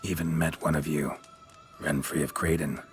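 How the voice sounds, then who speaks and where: An actor playing a stoic, weary monster hunter in a video game, gruff, terse and deadpan. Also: male voice, a bass voice, moderate pace, faint music behind. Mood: grave, flat.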